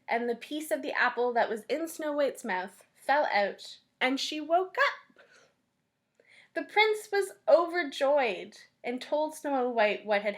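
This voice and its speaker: Woman storyteller, fairly high voice, very lively intonation, deliberate pauses, children's read-aloud. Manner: deliberate phrasing with frequent pauses. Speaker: storyteller at a library.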